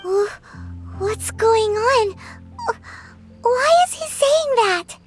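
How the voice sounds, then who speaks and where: A tiny, chatty fairy companion in a game, with a very high, lively, childlike voice. She bounces between cheeky remarks over soft background music. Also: very slow, heavily acted. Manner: excitable, talks about herself in third person. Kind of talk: video game voice acting.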